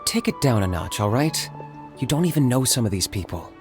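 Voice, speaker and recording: Young male warrior, played by an actor: mid-pitched, serious, terse, protective, music behind. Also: big pitch swings, fairly quick, lightly acted. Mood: firm. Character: stern, level-headed young man warrior, blunt, protective. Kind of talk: anime game voice acting.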